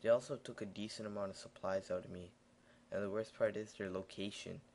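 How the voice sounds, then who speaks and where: A young man recording a casual gameplay voiceover, raspy and tired in the morning, rambling with many fillers. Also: fairly low pitch, fairly even intonation, fairly quick, a little mellow, slight background noise. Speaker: gaming video narrator.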